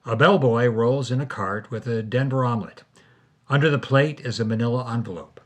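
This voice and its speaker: A man's voice: mid-range voice, fairly quick. A man co-hosting a podcast, delivering scripted storytelling narration. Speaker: podcast co-host.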